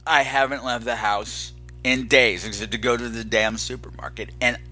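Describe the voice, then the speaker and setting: Dramatic, bright-voiced man podcast host, weary. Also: medium pitch, fairly even intonation, moderate pace, soft background music. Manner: dramatic, emphatic, exasperated. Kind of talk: candid unscripted monologue to listeners.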